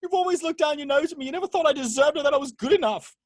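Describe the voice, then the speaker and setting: A woman's voice: high voice, rapid-fire, natural voice. A woman reading the part of a desperate, hot-tempered grown son in an amateur film script table read. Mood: bitter.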